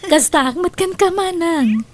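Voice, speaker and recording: A young woman doctor in an audio drama, a devoted little sister. Her voice is high, and she speaks in a polite, earnest way. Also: animated, unhurried, darkish tone. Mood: affectionate. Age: young adult. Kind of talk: Ilocano audio drama acting.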